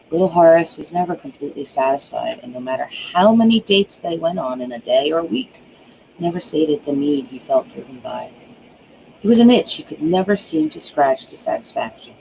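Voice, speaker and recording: An author reading their own horror thriller aloud as dramatic narration, drawing out key words with hushed emphasis. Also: medium pitch, creaky, a little hiss or hum, low-quality recording.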